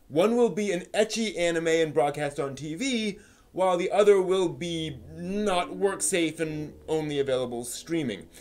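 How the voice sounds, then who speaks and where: A man with a moderately high-pitched voice hosts a casual live stream. He talks informally, rambling a little and thinking aloud, as if chatting directly with his viewers. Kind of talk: casual live stream chat about anime news and giveaways.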